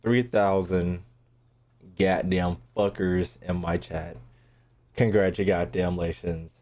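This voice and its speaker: A man giving casual livestream gameplay commentary, excitable, slangy and full of swearing. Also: low voice, takes it slowly. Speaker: game streamer. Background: game music.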